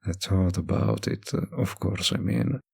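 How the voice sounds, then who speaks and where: Casting: male actor as a suave old vampire barkeep for audio roleplay, low-pitched and husky, languid and teasing. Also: a little slow, darkish tone, heavily acted. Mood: wistful. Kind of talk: intimate scripted roleplay addressed to the listener.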